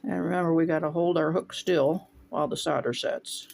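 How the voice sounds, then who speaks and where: A man's voice: fairly high pitch, moderate pace, gravelly. A man from Northwest Ohio, casually explaining stained glass steps as he works in his home studio and thinking aloud between tasks. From Northwest Ohio, USA. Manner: relaxed, talks while working, thinks aloud. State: runny nose, voice briefly faltering.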